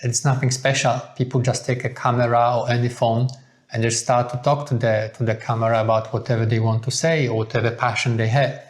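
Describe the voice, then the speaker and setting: Male co-host, mid-range voice, earnest and measured, relaxed conversational video. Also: medium pitch. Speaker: co-host of a couple's video channel. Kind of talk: relaxed sit-down chat to viewers.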